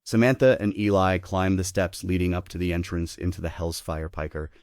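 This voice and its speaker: A man serves as narrator, reading a play's stage directions from a script. His voice is deep, and the recording is dry with very little room sound. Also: fairly even intonation, brisk, darkish tone, no echo.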